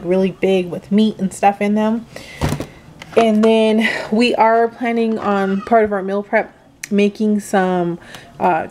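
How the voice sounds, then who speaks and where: A woman's voice: mid-range voice, a little hiss or hum. Chatty woman with lots of ums. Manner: chatty, relaxed, many "um"s, unhurried. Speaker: vlogger, mother of several kids. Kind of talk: casual grocery haul chat. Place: home kitchen, indoors.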